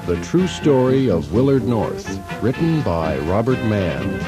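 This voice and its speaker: A man's voice: fairly low pitch, sing-song, measured pace, over loud music. A man working as a radio announcer, giving a scripted programme introduction.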